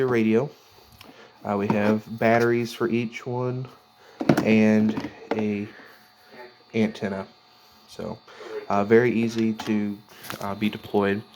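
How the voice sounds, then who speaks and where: A man giving a casual, unscripted walkthrough of his hobby gear, speaking plainly and haltingly with pauses mid-sentence. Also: on the low side, noisy background. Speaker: radio hobbyist presenting own gear.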